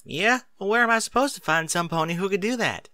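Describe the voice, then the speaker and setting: A man's voice: high-pitched, expressive, fast, pushed and bright, no room sound, clean studio sound, voice pushed up. A man voicing a young pony filly, eager, stubborn and cheeky, with folksy informal talk, in a story reading. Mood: indignant protest.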